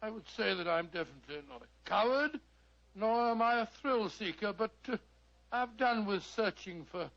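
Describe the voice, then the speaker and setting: An actor playing an elderly British doctor telling stories on radio, with a blustery, avuncular, slightly hesitant manner. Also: male voice, high voice, expressive, normal speed, creaky, fairly bright tone, heavily acted. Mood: genial, musing. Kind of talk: 1940s radio drama acting. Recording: muffled vintage radio recording.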